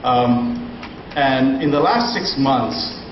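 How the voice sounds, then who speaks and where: A man's voice: mid-range voice, very animated, takes it slowly. A man from Sri Lanka speaking on a conference panel, telling his story informally with many fillers. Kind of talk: panel talk. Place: conference room. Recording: dull, roomy, distant, noisy.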